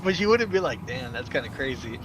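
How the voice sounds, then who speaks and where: A man's voice: medium pitch, very animated, a little fast. A young man streaming outdoors, chatting in a teasing, joking way, laughing often and restarting his words. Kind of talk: joking casual chat. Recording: noisy outdoor street recording.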